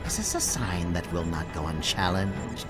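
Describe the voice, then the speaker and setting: A man's voice: sits low, very animated, normal speed, music in the background. A man playing a grandiose, menacing villain in a video game, declaiming in archaic, pompous pronouncements. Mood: ominous. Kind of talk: theatrical video game cutscene acting.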